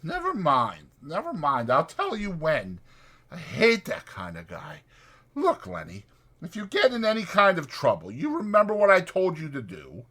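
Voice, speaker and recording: Irritable ranch hand played by a male narrator, bossy and protective, pitched up, forceful, rustic American speech. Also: wildly swooping pitch, moderate pace, full character voice. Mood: stern, warning. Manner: brusque, scolding, rural American dialect.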